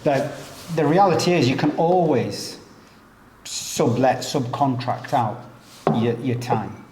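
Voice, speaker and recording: A mid-pitched man chatting easily, who laughs often and stumbles over repeated words while he tells stories. The sound is roomy and echoey, with a faint hiss of background noise. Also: darkish tone. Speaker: jiu-jitsu professor and academy co-owner. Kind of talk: casual chat. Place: inside a martial arts gym.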